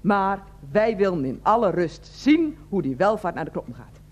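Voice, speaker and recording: An actress playing an older, self-righteous head of a residents' association in a radio play, wheezy, formal and repetitive. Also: female voice, medium pitch, big pitch swings, moderate pace. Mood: indignant. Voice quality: wheezy, asthmatic breathing. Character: fussy, indignant older woman heading a residents' association. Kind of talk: Dutch radio play acting.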